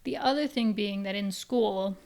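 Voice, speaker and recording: Tired, rambling woman, soft and low-key. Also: measured pace. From Canada. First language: English. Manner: rambling, self-interrupting, soft and low-key, drifting into whispery asides. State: tired, with a migraine. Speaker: podcast host. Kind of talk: casual solo podcast chat.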